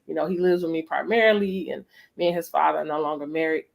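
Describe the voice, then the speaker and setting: A woman's voice: low voice, fast talker, gravelly. A middle-aged American woman hosting a casual, reflective conversation, speaking emphatically and often checking in with her listener. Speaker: host of a family storytime conversation.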